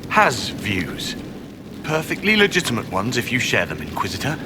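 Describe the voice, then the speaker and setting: Fussy, animated quartermaster. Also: male voice, mid-range voice, normal speed, background noise. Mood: guarded, diplomatic. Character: fussy, diplomatic, self-important male quartermaster. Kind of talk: video game voice acting.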